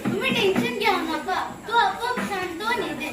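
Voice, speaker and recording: A woman's voice: very high voice, lively intonation, normal speed. A woman playing a mother and wife who scolds and soothes in a stage play. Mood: soothing. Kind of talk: amateur stage play acting. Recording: echoey hall, far mic, crowd.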